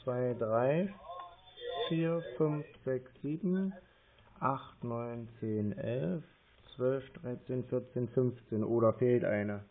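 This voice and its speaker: A man's voice: medium pitch, slow pace. A man talking hesitantly and muddled on a phone call, thinking aloud as he types and reads. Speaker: scam-baiter posing as a confused customer on a call. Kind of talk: slow phone conversation, reading aloud while typing. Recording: muffled, narrow phone-call sound.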